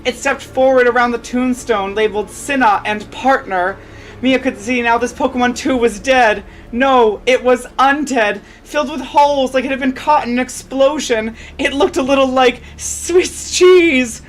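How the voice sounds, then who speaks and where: A woman's voice: high-pitched, normal speed, soft background music. A woman reading fan fiction aloud as a dramatic story narrator.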